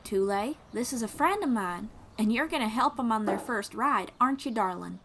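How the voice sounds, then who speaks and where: A woman's voice: a little high, lively intonation, moderate pace, noisy background. A woman playing a friendly young traveling bard in an audio roleplay, encouraging and reassuring, with a folksy drawl and casual phrasing. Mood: fond teasing. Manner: encouraging, reassuring, gently guiding. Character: friendly young female traveling bard who teaches riding. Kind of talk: second-person audio roleplay acting.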